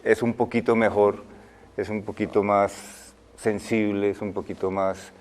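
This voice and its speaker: An older man, a film director answering interview questions in a thoughtful, halting way. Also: lowish voice, level tone, darkish tone. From Colombia. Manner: thoughtful, halting, many fillers and restarts.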